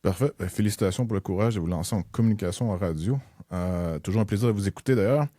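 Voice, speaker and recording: A man's voice: lowish voice, fast talker, dark tone, no echo, clean studio sound. A male podcast host, asking questions politely and formally with some hesitations. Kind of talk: interview questions.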